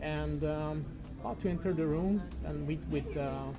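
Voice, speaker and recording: A man's voice: a little high, normal speed. A man speaking casually and appreciatively as an on-location interviewer at an audio show. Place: demo room at an audio show. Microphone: binaural microphone.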